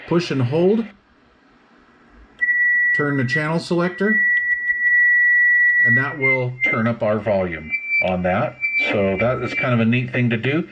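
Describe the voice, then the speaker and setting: A man's voice: mid-range voice, heavy background noise. A man giving a casual hands-on product review, explaining things step by step.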